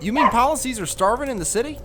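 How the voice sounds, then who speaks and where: A fairly high, animated man's voice for an awkward young crewman, acted by a man. He stammers and trips over his words, with pitch that rises and drops a lot. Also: quick pace, slight background noise, full character voice. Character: awkward, earnest young man, a crew member.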